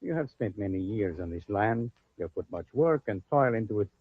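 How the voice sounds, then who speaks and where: An actor playing a kindly, dignified mission steward, formal and courteous, in an old-time radio drama. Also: male voice, fairly low pitch, lively intonation, fairly quick, husky, narrow, tinny audio. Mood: courteous, assuring. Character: kindly, dignified major-domo of a Spanish mission. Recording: phone-thin vintage radio recording.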